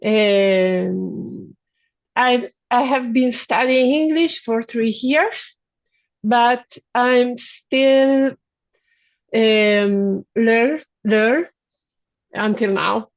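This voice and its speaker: A woman from northern Italy with an Italian accent, an English learner speaking in halting, hesitant phrases. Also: on the high side. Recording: dull, dry sound over a video call.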